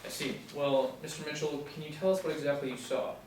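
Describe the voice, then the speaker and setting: Quick man reading a businesslike federal agent. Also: fairly high pitch, darkish tone, lightly acted. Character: businesslike male FBI agent questioning a witness. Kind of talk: scripted table read of a TV pilot. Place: small indoor room, seated reading. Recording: very echoey room, distant mic, faint noise.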